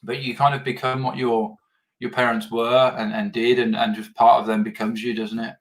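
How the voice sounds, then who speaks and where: A man's voice: medium pitch, level tone, normal speed, rough recording. Earnest male podcast host. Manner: earnest, repeats words when searching for phrasing. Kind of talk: casual video interview.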